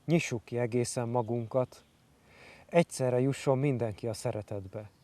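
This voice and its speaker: A man's voice: medium pitch, normal speed, mellow. A man reciting poetry.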